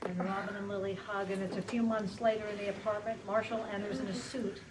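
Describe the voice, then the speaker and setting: A woman's voice: mid-range voice, level tone, brisk. Distant woman reading stage directions. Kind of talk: scripted narration at a table read. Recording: far from mic, clearly noisy room.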